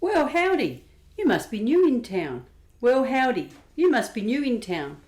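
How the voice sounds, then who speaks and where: A woman's voice: on the high side, sing-song, a little slow, darkish tone. A woman playing a folksy, welcoming bar owner. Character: friendly blonde human bar owner woman. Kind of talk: amateur radio drama acting.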